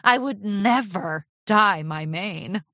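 A woman's voice: mid-range voice, expressive, slow pace, pushed and bright, no room sound, studio quality, heavily acted. A woman voicing a glamorous, self-assured female pony fashion designer in a storybook, with a grand, affected, dramatic manner. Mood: indulgent.

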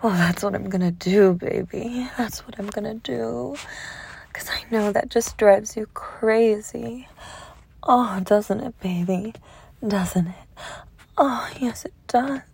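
A woman in an intimate erotic roleplay, coaxing and teasingly dominant, with a breathy voice that often drops to a whisper amid sighs and moans. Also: medium pitch, darkish tone. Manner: moaning, sighing, slow sultry coaxing. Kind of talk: erotic audio roleplay, intimate partner address.

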